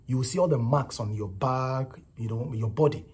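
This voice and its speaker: A man's voice: mid-range voice, moderate pace, darkish tone, husky. A man with a Nigerian accent giving casual spoken commentary on a viral clip, emphatic and repetitive, often adding "you know" and "right". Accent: Nigerian English.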